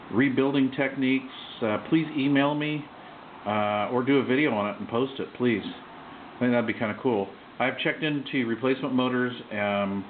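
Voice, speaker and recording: A man giving a casual, unscripted walkthrough of his hobby gear, halting often and tossing in offhand asides. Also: fairly low pitch. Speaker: CB radio hobbyist presenting his own base station. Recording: muffled phone-like sound, loud background noise.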